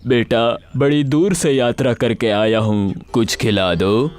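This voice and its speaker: A man voicing a weary wandering holy man who begs for food in an animated story, pleading and drawn-out. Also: mid-range voice, smooth, close to an everyday voice.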